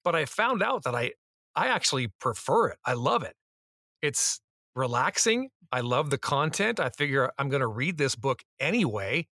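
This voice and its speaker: A man's voice: medium pitch, very animated, normal speed, pushed and bright, no echo, clean studio sound. A man, a radio host and voice actor, chatting as a guest in a jokey, self-deprecating way on a shared mic. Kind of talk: casual interview chat. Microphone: shared single mic.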